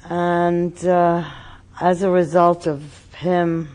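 Slow, mellow older woman from Austria. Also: on the low side, flat delivery. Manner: halting, with many fillers and pauses. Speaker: interviewee. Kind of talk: oral history interview.

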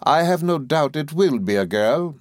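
A man's voice: fairly high pitch, animated, normal speed, darkish tone, dry recording, clean studio sound, pitched above the performer's own voice, close to an everyday voice. A man voicing a domineering, brusque older English aunt in an audiobook, with emphatic, commanding delivery. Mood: insistent. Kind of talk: audiobook character voice.

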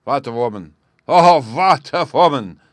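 A man voicing a proud, excitable European king who exclaims loudly and with emphasis, in a storybook reading. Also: lowish voice, normal speed, no echo, close to an everyday voice. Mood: exclaiming.

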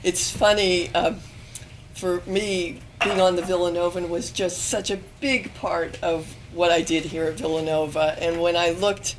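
A woman who is losing her voice speaks thoughtfully on a panel. Her pitch sits somewhat low, and she pauses with fillers and restarts as she works through her points. Faint noise runs underneath. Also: little pitch movement. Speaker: panelist, former student newspaper editor. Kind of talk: panel talk.

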